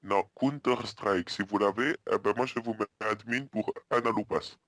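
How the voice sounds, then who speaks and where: A man playing an earnest, muddled hotel guest on a prank phone call, hesitant, repetitive and politely deadpan. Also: lowish voice, normal speed, no room sound, clean studio sound, lightly acted. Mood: deadpan, insistent. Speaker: prank caller.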